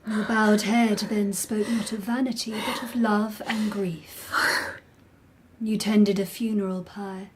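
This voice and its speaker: Actress as a slow, watchful, haughty empress. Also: female voice, medium pitch, flat delivery, a little mellow, a little hiss or hum, close to an everyday voice. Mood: eerie, penetrating. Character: watchful, haughty empress speaking in eerie poetic images. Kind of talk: radio drama acting.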